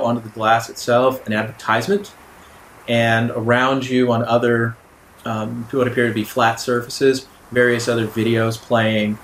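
Halting male game master in a roomy space. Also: medium pitch, fairly bright tone. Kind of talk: improvised narration of a tabletop role-playing game. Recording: roomy echo, background room noise.

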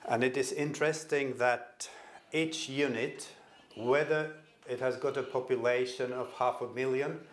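An older man from Finland with a Finnish accent gives a conference presentation in a hall, speaking haltingly and repeating words as he restarts. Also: mid-range voice, steady tone, slight background noise. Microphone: stand microphone at a podium.

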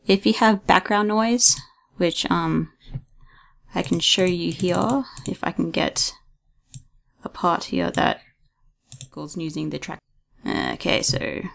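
A young woman hosting a casual screen-recorded software tutorial, talking hesitantly with frequent ums and restarts into a desktop mic. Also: fairly low pitch, creaky. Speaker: video tutorial host.

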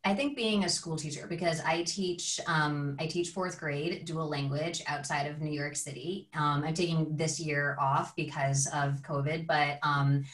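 A woman, a teacher, talks as a podcast guest, rambling and acting out what people said. Her voice sits low, and it comes through a distant, echoey video call. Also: fairly even intonation, measured pace, few pauses, fairly bright tone. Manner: rambling, lots of "like", acts out quotes. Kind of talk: casual storytelling chat. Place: home room, video call.

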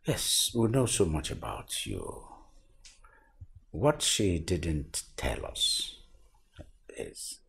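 An actor with a Nigerian accent playing a stern father who slowly questions his daughter's fiancé. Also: rumbling low, wildly swooping pitch, creaky, a bit bright, breathy edge, full character voice. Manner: slow, probing, measured. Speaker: bride's father.

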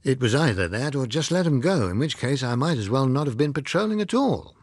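Military pilot, acted by a male reader, husky, guarded and matter-of-fact, animated delivery for an audiobook. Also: medium pitch, brisk, dry recording, clean studio sound. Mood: guarded, earnest. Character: candid military pilot recounting a strange encounter. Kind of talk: audiobook character dialogue.

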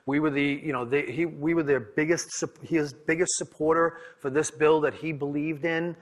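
A man's voice: on the high side, steady tone, moderate pace. A man with a Boston accent, from New England, giving a conference presentation in candid storytelling style, often repeating and restarting words. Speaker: conference speaker. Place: conference room.

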